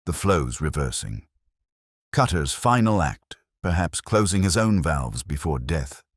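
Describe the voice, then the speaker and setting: A man's voice: a bass voice, sing-song, unhurried, slightly rough, no echo, studio quality, heavily acted. A man voicing a coolly precise, commanding Victorian detective with curt, cerebral delivery in an audiobook. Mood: murmuring. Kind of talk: audiobook character dialogue.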